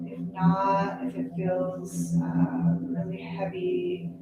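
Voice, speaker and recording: A woman guiding a meditation as a yoga nidra instructor, speaking gently and leaving unhurried pauses between phrases. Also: mid-range voice, monotone, dull audio. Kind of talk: guided meditation. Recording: echoey, distant sound with loud music. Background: loud music.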